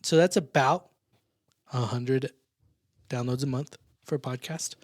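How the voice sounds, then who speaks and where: A man's voice: mid-range voice, a little slow, darkish tone, no room sound, clean studio sound. A man hosting a small church podcast in casual chat, laughing often and restarting his sentences. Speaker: podcast host.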